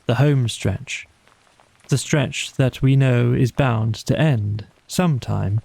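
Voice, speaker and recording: Casting: male bedtime audiobook narrator, very unhurried and soothing, dark mellow tone, close dry sound. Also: medium pitch, creaky, breathy edge, dry recording. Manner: measured, soothing, deliberate phrasing. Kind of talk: slow bedtime storybook narration.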